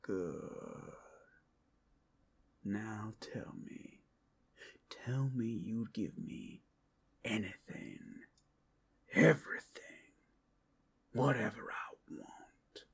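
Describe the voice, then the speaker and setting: Male actor as cursed captive werewolf for audio roleplay: gravelly and taunting, low-pitched, swinging dramatically between whispers and outbursts. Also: expressive, very slow, pauses often, full character voice. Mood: predatory, mocking. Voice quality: gravelly, husky, often breaking into whispers. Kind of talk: ASMR audio roleplay acting.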